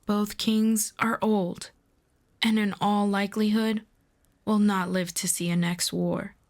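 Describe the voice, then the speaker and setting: A woman playing a proud, earnest demon princess in a fantasy audio roleplay, speaking in a formal, deliberate, persuasive way. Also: medium pitch, level tone, darkish tone. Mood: measured, reasoning.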